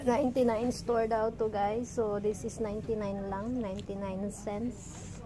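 Woman, Filipino accent, slow pace, casual vlog chat, handheld phone, noticeable car and shop noise. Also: medium pitch, little pitch movement. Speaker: vlogger. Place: inside a car and a discount store. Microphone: handheld phone at arm's length. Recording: clear ambient car and store noise.

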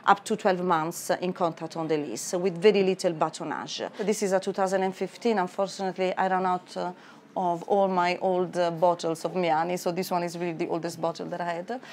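A woman's voice: lowish voice, little pitch movement, fairly quick, a little mellow, a little hiss or hum. Italian-accented woman explaining wine, hesitant. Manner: careful, with frequent hesitations. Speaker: wine merchant. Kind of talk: tasting discussion. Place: wine cellar.

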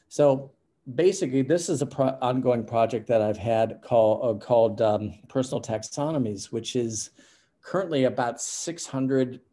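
A man's voice: medium pitch, dark tone. A middle-aged man presenting his artwork over a video call, talking casually with many fillers and restarts. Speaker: artist presenting work.

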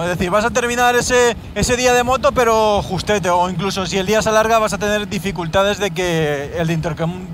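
A man's voice: sits high, fairly bright tone, slight background noise. A man with an accent from Spain giving a casual, explanatory product review as a motorcycle vlogger, checking in with his viewers as he goes. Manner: colloquial, explanatory, addressing viewers with check-in tags. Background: background music.

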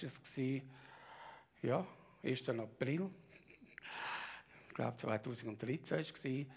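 A middle-aged or older man with a Bernese Swiss German accent, giving a halting personal account on stage and often clearing his throat. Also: medium pitch. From Bernese Oberland, Switzerland. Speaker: practitioner giving a talk.

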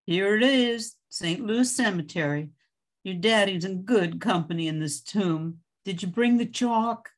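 Older lady doing a nervy secretive widow mom in a radio play, slow, hesitant. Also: medium pitch, close to an everyday voice. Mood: composed. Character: secretive widowed mother, easily flustered. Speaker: amateur radio drama performer. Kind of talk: old-time radio play acting.

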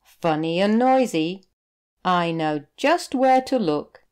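A British woman voicing a kind, helpful big whale in a picture book, speaking slowly and expressively. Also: fairly low pitch, clean tone. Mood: friendly, helpful. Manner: slow, deliberate, expressive.

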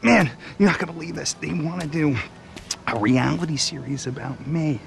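An actor playing a boastful, pushy American paparazzo in a game cutscene, excitable, wheedling and stammering. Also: male voice, a little high, normal speed, gravelly, a little hiss or hum. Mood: boastful excitement. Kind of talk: video game cutscene acting.

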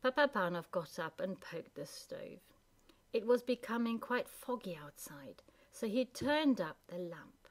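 Slow-paced woman reading a storybook. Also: mid-range voice, expressive. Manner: deliberate, with pauses between phrases. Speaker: storybook narrator. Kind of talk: unhurried scripted children's story narration.

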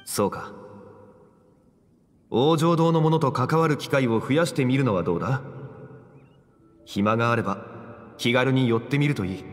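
An actor playing a dignified, scholarly gentleman in an anime game, formal, measured and calm. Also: mid-range voice, big-room sound, natural voice. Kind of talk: Japanese anime game voice acting. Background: background music.

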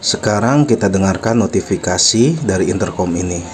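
A man's voice: deep voice, fairly quick, a little mellow. A man narrating a step-by-step product tutorial in an explanatory, instructional manner. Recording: faint background noise.

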